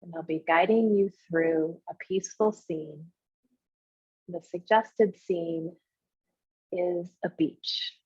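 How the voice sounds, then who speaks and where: A woman leading a guided visualization as a meditation guide, speaking in short, unhurried instructional phrases with pauses between them, in a slightly husky voice. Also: fairly low pitch, a little mellow. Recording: muffled, roomy, echoey sound.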